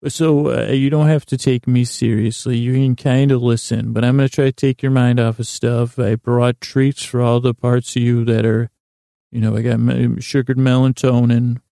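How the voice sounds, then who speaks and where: A man hosting a bedtime podcast in a meandering, halting, gently silly and self-deprecating monologue that drifts into tangents. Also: mid-range voice, flat delivery, gravelly, no room sound, clean studio sound. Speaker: podcast host.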